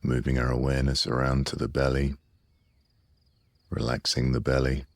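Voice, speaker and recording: An extremely low-pitched man guides a relaxation meditation. His delivery is soothing and unhurried, with long pauses between phrases and very little rise or fall in pitch. The recording is close and dry, with no room sound. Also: flat delivery, vocal fry, no echo.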